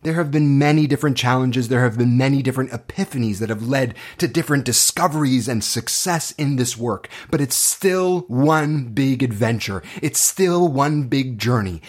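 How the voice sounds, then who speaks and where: A man's voice: medium pitch, moderate pace, few pauses. A man speaking as an acting teacher, telling personal stories to motivate students in an earnest, impassioned way with dramatic, emphatic phrasing.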